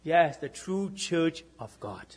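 A man with a Boston accent, preaching to a congregation as minister, often checking in with his listeners and dropping into hushed repeats for emphasis. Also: on the high side, expressive, takes it slowly, breathy edge. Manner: keeps checking in with listeners, asking "right?". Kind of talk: sermon to a congregation.

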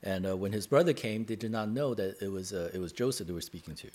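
Man, sermon interpreter, lowish pitch, rapid bursts, halting, repeats words, phrase-by-phrase delivery. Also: a little mellow.

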